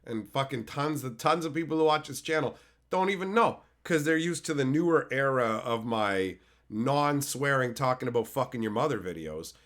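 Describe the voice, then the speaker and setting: Hoarse man chatting on stream. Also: medium pitch, lively intonation, normal speed, fairly bright tone. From Canada. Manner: loose, swears freely, answers chat by name. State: hoarse from being sick. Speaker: live streamer reading chat. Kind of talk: casual live-stream chat.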